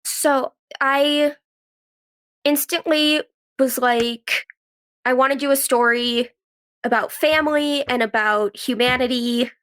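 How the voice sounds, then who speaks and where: Young woman w a highish voice, chatting as a podcast guest, says like a lot, casual storytelling. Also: steady tone, takes it slowly, a bit bright. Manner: conversational, frequent "like", quotes own thoughts. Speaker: podcast guest, actress and writer. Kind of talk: casual interview answers, storytelling.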